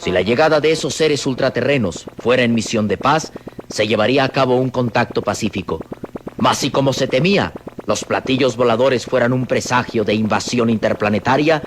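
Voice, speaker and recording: A man narrating a scripted radio drama solemnly, in measured phrases with dramatic pauses. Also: medium pitch, slight background noise. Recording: vintage radio drama with music. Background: dramatic music.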